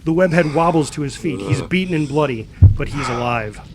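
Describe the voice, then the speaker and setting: A man's voice: on the high side, expressive, fairly quick, background noise. A man reading screenplay stage directions as a dramatic scripted narrator.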